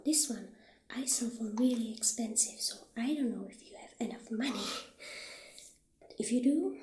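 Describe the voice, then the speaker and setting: A friendly witch shopkeeper acted out by a woman in an ASMR roleplay, speaking very slowly in a highish, soft, breathy half-whisper. The delivery is gentle and hesitant, and the room rings a little around the voice. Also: reverberant. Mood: gentle, sales-minded. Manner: gentle, unhurried, hesitant pauses. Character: friendly witch shopkeeper showing off potion ingredients.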